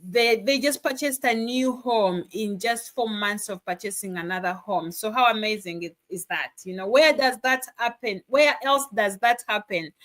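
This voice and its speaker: A woman's voice: medium pitch, normal speed, few pauses, fairly bright tone. A woman from Uganda co-hosting a live stream over a video call, enthusiastic and celebratory as she congratulates members. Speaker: co-host, digital business mentor. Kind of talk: upbeat live-stream chat.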